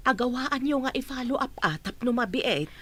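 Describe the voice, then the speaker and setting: A woman's voice: high voice, expressive, normal speed, fairly bright tone, no echo. A woman acting a practical village mother in a radio drama, with an Ilocano Filipino accent. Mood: concerned. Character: practical village mother urging her grown children on.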